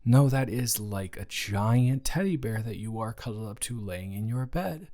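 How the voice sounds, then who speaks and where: A man's voice: fairly low pitch, expressive, normal speed, dark tone, close to an everyday voice. A young man playing a shy, good-natured college dormmate in a romantic audio roleplay, stammering and rambling hesitantly. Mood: sympathetic, teasing. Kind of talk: intimate romantic audio roleplay, one-sided conversation.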